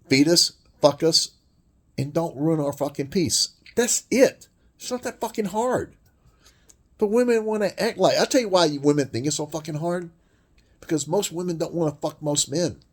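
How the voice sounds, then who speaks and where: A man with a deep voice delivering a blunt, crude, rambling monologue rant full of swearing. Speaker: vlogger.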